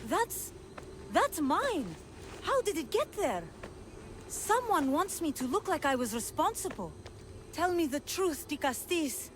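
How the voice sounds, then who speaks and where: A woman voicing an anguished, earnest young woman with a dark hidden side, in a video game. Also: high voice, sing-song, a little slow, slight background noise. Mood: indignant, defensive. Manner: earnest, emotional. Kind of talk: video game cutscene acting.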